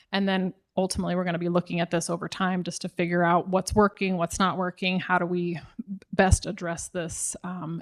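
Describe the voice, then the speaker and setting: A woman's voice: mid-range voice, a bit bright. A woman city official with an American Midwest accent, explaining zoning rules to residents at a public meeting, with frequent ums and restarts.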